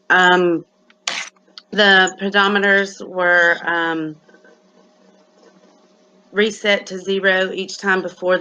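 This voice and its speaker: A young woman from Alabama, a student giving a class presentation with a halting delivery and many "um" pauses. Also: on the low side, pushed and bright, muffled recording. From Athens, Alabama, USA. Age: young adult.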